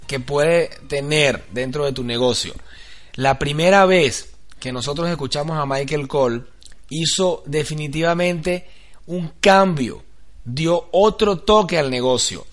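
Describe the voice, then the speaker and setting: Earnest higher-voiced man narrating a training. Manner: earnest, instructive, building to an eager introduction. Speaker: training host. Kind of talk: scripted motivational narration.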